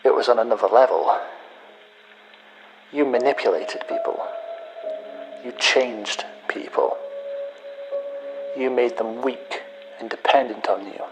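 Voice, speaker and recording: Sci-fi audio drama, male hacker, played by an actor: vengeful and accusatory, unhurried, measured delivery over a music bed. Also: mid-range voice, low-quality recording. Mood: accusing, hurt. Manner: deliberate, pausing, accusatory. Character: bitter male hacker confessing revenge to his betrayed friend.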